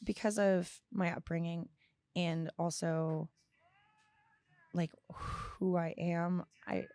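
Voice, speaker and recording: A woman in her early twenties giving candid, reflective interview answers as a podcast guest, often halting and correcting herself. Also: fairly low pitch, little pitch movement, darkish tone, no echo. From United States, grew up partly in Florida and abroad. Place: small indoor lounge, on a leather couch. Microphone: close boom-arm podcast microphone with headphones.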